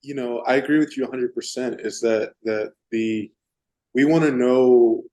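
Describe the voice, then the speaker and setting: A man from Texas interviewing a guest, halting and restarting often as he searches for words. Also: mid-range voice, dark tone. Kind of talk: casual interview.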